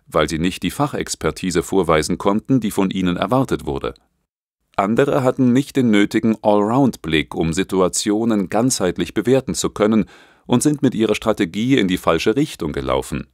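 A man's voice: on the low side, darkish tone, dry recording, studio quality. A man reading a scripted audiobook narration.

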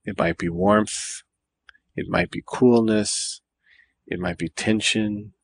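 Meditation guide: male, low register, calm and deliberate, leaving space between phrases. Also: husky. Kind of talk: guided body scan meditation.

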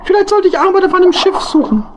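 A woman's voice: very high-pitched, fast talker, slight background noise. A woman voicing a small male hedgehog, a chatty, eager and plaintive bachelor in a children's audio play. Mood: envious, wistful.

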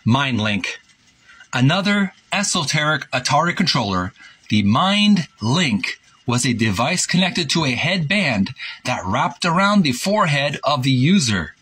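A man hosting a retro gaming video, reading a book aloud in a halting, deliberate way with casual commentary. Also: a little high, fairly bright tone, breathy edge. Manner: halting, deliberate, repeats himself.

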